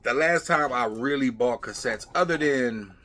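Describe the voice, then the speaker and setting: A man's voice: mid-range voice, normal speed, a bit bright. A middle-aged man chatting casually on a vlog, muttering asides in a rambling way as he sorts through things. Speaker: vlog host. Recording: clear background noise with clicking, clattering.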